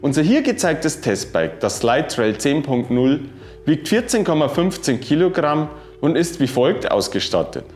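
A man in his thirties giving a scripted bike review, reading specifications in an even, informative way. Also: a little high, measured pace. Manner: even, informative delivery dense with specs. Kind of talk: scripted product review narration. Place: wooden-walled workshop room, indoors. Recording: roomy sound with faint music.

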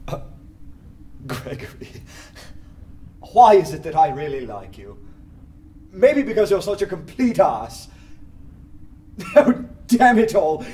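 Wry, animated detective man, fairly high voice. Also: slow pace, pauses often, heavily acted. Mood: amused. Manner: sardonic, animated. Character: wry, bold undercover detective posing as a poet. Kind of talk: full-cast radio drama acting.

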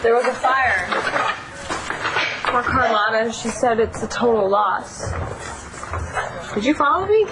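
An actress playing an evasive diner waitress. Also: female voice, fairly high pitch, animated, a little slow, pauses often, heavy background noise.

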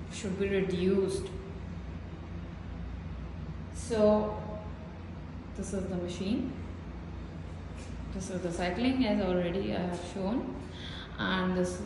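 Woman vlogger, unhurried and repetitive, phone mic in a boomy room with a long reverb tail. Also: slight background noise. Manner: simple repetitive pointing-out explanations. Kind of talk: casual gym tour vlog. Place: small indoor building gym. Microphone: phone held while filming. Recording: echoey room with hall reverb.

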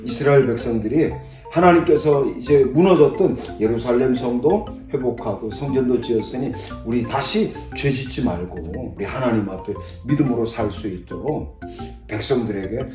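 A man's voice: medium pitch, normal speed, natural voice. An older man voicing an earnest, devout Israelite leader who pleads and exhorts solemnly in a Bible storybook tale. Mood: earnest, rallying. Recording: roomy echo, distant mic, faint music.

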